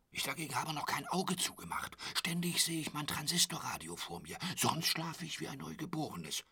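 An actor in a radio drama playing a talkative, intrusive, lecherous hotel roommate who boasts and pities himself in a pestering way. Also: male voice, a little high, sharp tone, heavily acted. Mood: restless, pleading. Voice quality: husky, dropping into whispers. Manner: garrulous, pestering, repeats pet phrases.

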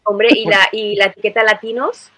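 A woman's voice: mid-range voice, fairly even intonation, moderate pace, pushed and bright, no echo. A woman with a Madrid Spanish accent, chatting animatedly on a podcast panel and laughing often. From Spain. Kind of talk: casual panel chat.

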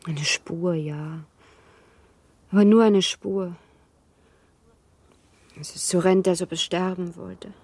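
Husky, restless young wife. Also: female voice, on the low side, fairly even intonation, slow, pauses often, a little mellow. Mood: subdued, melancholy. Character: young restless baron's wife, wistful, longing for gaiety. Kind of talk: literary radio play acting.

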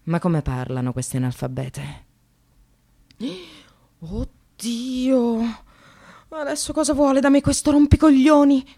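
An actress playing an exasperated, bitterly sarcastic receptionist in a dramatic radio monologue, shifting between muttering and outbursts. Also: female voice, high-pitched, lively intonation, takes it slowly, long pauses, dark tone, heavily acted. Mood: irritated.

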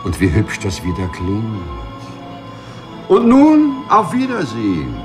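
A man's voice: on the low side, huge pitch swings, slow, loud music behind the voice, exaggerated voice. An actor in a 1950s German radio play, playing a polite, proud man who walks through walls, formal and dreamily rapturous. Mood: elated, dreamy.

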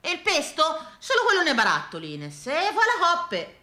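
Female stage storyteller, Tuscan accent, high bright voice, conversational and exclamatory, recorded in a roomy echo. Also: normal speed. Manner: animated, exclamatory, conversational, repeats herself. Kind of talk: theatrical comic monologue in colloquial speech. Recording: roomy, echoing live sound.

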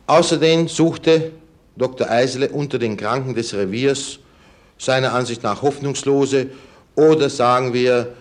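A sober witness played by an actor testifies in a documentary radio play. His voice is medium in pitch, halting and matter-of-fact. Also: close to an everyday voice.

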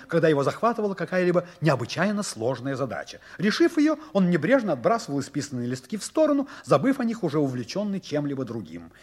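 An actor narrating a 1960s Soviet radio play as an expressive storyteller, with a husky voice and deliberate, theatrical emphasis. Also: male voice, a little high, heavily acted. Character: storyteller narrator of a whimsical science fiction tale.

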